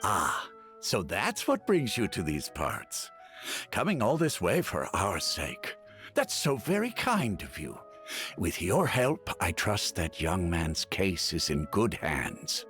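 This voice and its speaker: An actor voicing a humble, soft-spoken elderly village chief in a video game who is losing his eyesight. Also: sits low, wildly swooping pitch, slow, full character voice. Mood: kindly. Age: older. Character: elderly half-blind male village chief, gentle and humble. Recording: faint game music behind.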